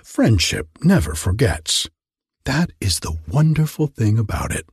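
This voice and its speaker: A man's voice: medium pitch, sing-song, measured pace, breathy edge, dry recording, clean studio sound, pitched above the performer's own voice, heavily acted. A man doing a smug, preachy miller. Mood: sanctimonious. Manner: sanctimonious, condescending. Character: smug selfish male miller, preachy about friendship.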